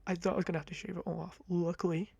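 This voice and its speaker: A young man in his twenties with a high-pitched voice, rambling casually to camera in a chatty, self-deprecating ASMR talk on a close mic. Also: flat delivery, racing, gravelly, dark tone, breathy edge, dry recording. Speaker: ASMR creator. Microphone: close condenser mic.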